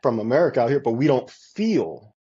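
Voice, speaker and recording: A man's voice: mid-range voice, very animated, fairly quick, mellow, husky. A man talking in a casual interview, emphatic and repetitive, often restarting his sentences. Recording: muffled lo-fi audio.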